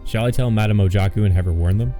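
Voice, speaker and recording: A man's voice: fairly low pitch, fast, dark tone, faint music behind, natural voice. A man voicing a wry, easily exasperated male investigator in a visual novel. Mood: concerned. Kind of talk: visual novel character reading.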